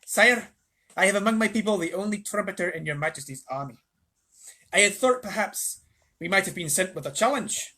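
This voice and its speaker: Storybook role, performed by a reader: small male mouse warrior, high shrill voice, gallant, touchy, ceremonious. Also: lively intonation, brisk, bright, forceful tone. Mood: eager, courtly. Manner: courtly, formal, touchy about honour.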